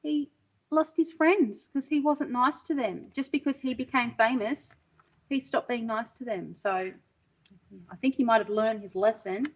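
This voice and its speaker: A woman reading a children's storybook aloud like a pre-school teacher, expressive and sing-song, pausing to put questions to young listeners. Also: fairly high pitch, rough recording.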